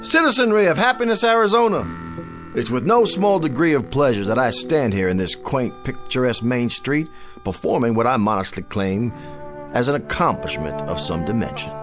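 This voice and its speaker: An American actor in a radio drama playing a smooth-talking, grandiloquent frontier showman who claims to raise the dead. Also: mid-range voice, lively intonation, normal speed, music in the background, natural voice. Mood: grand oratory. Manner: theatrical, grandiloquent, folksy salesman patter.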